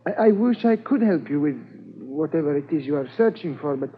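A man's voice: on the high side, expressive, slightly rough. An actor playing a nervous, evasive old man who halts and stammers in a 1950s radio drama. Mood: nervous, guarded. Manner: halting, stammering, repeating words. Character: nervous, evasive, reclusive old man under police questioning. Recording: muffled, hissy 1950s radio transcription.

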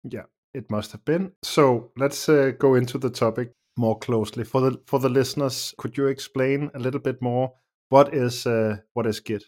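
A man's voice: medium pitch, steady tone, a little mellow. A man hosting a podcast, asking questions in a hesitant way with many restarts. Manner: hesitant, halting questions with repeated words. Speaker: podcast host. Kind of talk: podcast interview.

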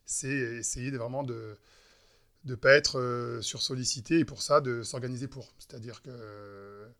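A man in his thirties from France, a guest on a relaxed podcast. He speaks hesitantly, full of pauses, fillers and restarts. His voice sits at an ordinary middle pitch. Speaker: entrepreneur guest. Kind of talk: casual podcast interview.

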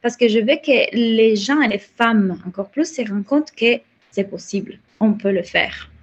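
A woman from Italy with an Italian accent, a coach speaking slowly and haltingly in a casual interview, with many restarts. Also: fairly high pitch, breathy edge, dull audio. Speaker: business and life coach, guest.

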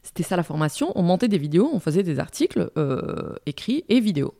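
A woman from Morocco in her forties, a journalist and news presenter, telling her career story in an interview in a lively way, with restarts and fillers. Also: on the low side, fast, vocal fry, dry recording, studio quality.

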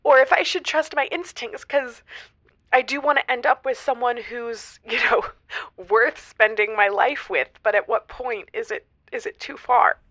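An actress playing an earnest, picky single woman in her thirties, talking haltingly and emotionally as a caller in an audio drama. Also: fairly high pitch, steady tone, fairly bright tone, dry recording. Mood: frustrated, pleading. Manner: halting, emotional, giggly hesitations. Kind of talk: semi-improvised audio comedy drama.

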